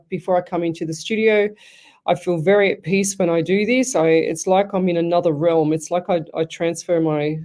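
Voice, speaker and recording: A woman's voice: sits low, dark tone. An Australian woman hosting a live radio show as a psychic medium, chatty and rambling, often restarting her sentences. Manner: chatty, rambling, self-interrupting.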